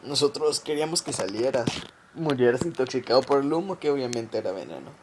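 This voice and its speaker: A man playing a cold government conspirator in a radio drama, confessing a plot to his victim in a deliberate, taunting, menacing way. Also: mid-range voice, expressive, background noise, close to an everyday voice. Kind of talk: amateur radio drama monologue.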